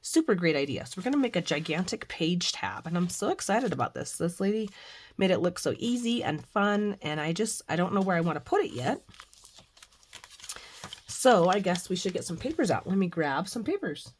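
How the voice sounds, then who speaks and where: Hoarse middle-aged woman host, lower voice, rambling casual chat with fillers. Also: sing-song, normal speed, slight background noise. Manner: chatty, rambling with frequent fillers. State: hoarse, recovering from flu with a cough. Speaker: craft channel host. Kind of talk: casual devotional and crafting chat. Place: home craft room.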